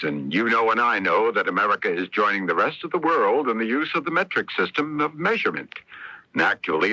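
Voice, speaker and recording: Male announcer, lively and sharp, explanatory read, dry old radio sound. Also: fairly low pitch, dry recording, rough recording. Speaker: public service announcer. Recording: dry 1970s radio broadcast.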